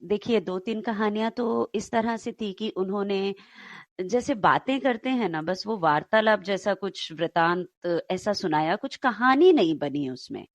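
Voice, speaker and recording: A woman co-hosting and telling stories to listeners in an unhurried way. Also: medium pitch, animated, no echo. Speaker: co-host and storyteller.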